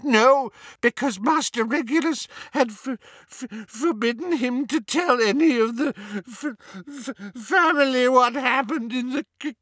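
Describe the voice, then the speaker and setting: High-pitched, sobbing old male house-elf. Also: sharp tone, dry recording, full character voice. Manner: refers to self in third person, moaning. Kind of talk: audiobook character acting.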